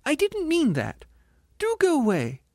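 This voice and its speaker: Man putting on a shrill, squealing female ghost. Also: huge pitch swings, measured pace, a little mellow, dry recording, studio quality, full character voice. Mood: exasperated. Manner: whining, indignant, self-conscious. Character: panicky, vain female ghost in shabby finery. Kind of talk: audiobook character voice.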